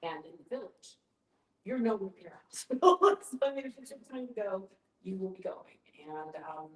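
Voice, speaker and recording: A woman's voice: mid-range voice, animated, moderate pace. A woman thinking aloud conversationally as a participant in a reflective group discussion. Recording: echoey room with background noise.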